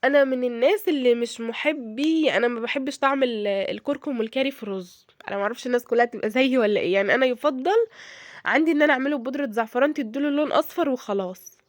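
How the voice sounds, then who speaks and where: A hoarse woman with an Egyptian accent talks her viewers through a home recipe at a rapid clip, her voice sitting a little high. She chats casually, filling pauses and checking in with them often. The sound is close and dry, with no room echo. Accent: Egyptian Arabic. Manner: chatty, addresses viewers directly, frequent fillers and check-ins. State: hoarse from a cold. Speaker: cooking vlogger. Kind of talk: casual cooking tutorial.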